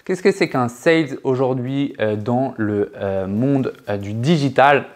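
Thirties male trainer, casual on-camera explainer, lapel mic, filler-heavy and chatty, mid-pitched. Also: unhurried. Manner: chatty, many fillers and restarts, lively hand gestures. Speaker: sales trainer, channel co-host. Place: living room set with sofa and shelves.

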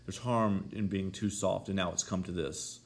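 A man's voice: sits low, steady tone, normal speed. A man voicing a forthright housekeeper woman in a story, blunt and disapproving.

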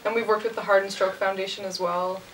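A woman's voice: medium pitch, flat delivery, quick pace. A woman in her twenties giving casual, explanatory answers as an interview guest. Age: 20s. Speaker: interview guest, radio station manager. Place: room with couches, amps and instruments. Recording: echoey room, distant mic, faint noise.

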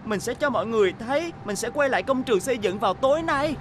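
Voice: medium pitch, animated, fast talker, noisy background, lightly acted. A performer voicing an eager, kind young boy bus in a Vietnamese cartoon dub, speaking earnestly over music.

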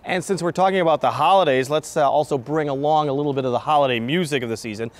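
A man's voice: a little high, fast talker. A middle-aged man hosting and interviewing on local television, recorded on a lapel mic. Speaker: TV host. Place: large indoor hall decorated with Christmas trees.

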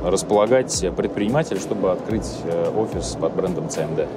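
Low-voiced male interviewer over music. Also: fairly quick. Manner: formal, courteous questioning. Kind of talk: business interview. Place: exhibition stand in a large hall. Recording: loud background music.

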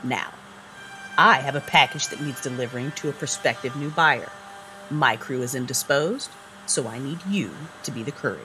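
A man playing a measured, imperious vampire crime boss handing out orders in an audio drama. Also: mid-range voice, background noise, lightly acted. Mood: cool, commanding. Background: music.

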